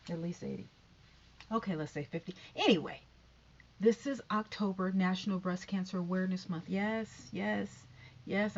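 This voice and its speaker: A woman's voice: medium pitch, muffled recording. A woman in her fifties vlogging in a rambling, chatty way, with sighs and whispered asides. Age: 50s. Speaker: YouTube vlogger. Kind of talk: rambling casual vlog.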